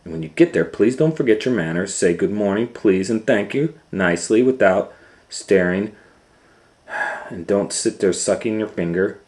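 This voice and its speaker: A man voicing a fussy, caring storybook mother who gives brisk, firm instructions. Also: on the low side, lively intonation, a little mellow, voice pushed up, close to an everyday voice. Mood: firm, fussing. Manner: brisk, bossy, listing instructions.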